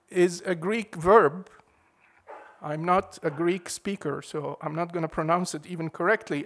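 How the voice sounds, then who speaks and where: A man preaching a sermon in a measured, emphatic way, leaning hard on key words. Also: sits high.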